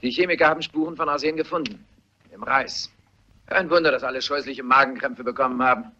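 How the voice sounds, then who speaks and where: An actor playing an opinionated police assistant, matter-of-fact and speculating, in a German radio mystery play. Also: male voice, mid-range voice, steady tone, brisk, pushed and bright, slight background noise. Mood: matter-of-fact, speculative. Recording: muffled radio play recording.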